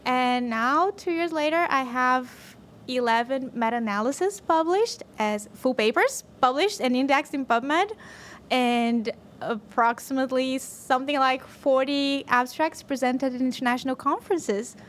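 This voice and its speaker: A woman's voice: high-pitched, a little slow. A woman, talking informally and playfully as a podcast guest. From Brazil. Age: 20s. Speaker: podcast guest, physician and researcher. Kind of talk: casual podcast chat. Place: podcast studio, indoors. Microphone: desk microphone on boom arm.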